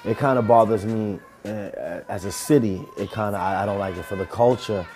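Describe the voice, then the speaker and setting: A man's voice: fairly low pitch, fairly quick. A young man, a rapper telling loose, rambling stories in a casual interview. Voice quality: smooth. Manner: loose, rambling, animated storytelling.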